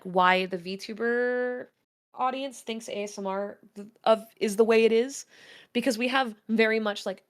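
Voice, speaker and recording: A woman with a deep voice presents to a livestream audience. She talks in a chatty, candid way and often pauses with hesitant fillers. Her delivery stays plain and informal. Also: a bit bright. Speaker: guest presenter.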